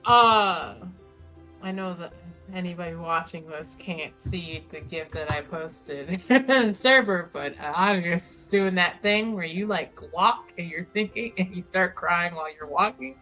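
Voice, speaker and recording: A woman's voice: on the low side, a little slow, pushed and bright, soft background music, poor audio. A woman player narrating her roleplaying character casually, in an informal, rambling, sweary way. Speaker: player in a tabletop roleplaying game.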